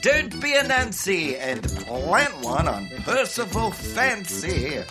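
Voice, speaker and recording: Flamboyant rogue captain, campy and grand, very wide swoops of pitch, music beneath. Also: male voice, very animated, slow, heavily acted. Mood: flirtatious. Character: flamboyant dandy boat captain, secretly an outlaw, flirtatious and theatrical. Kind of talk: comedic audio drama acting.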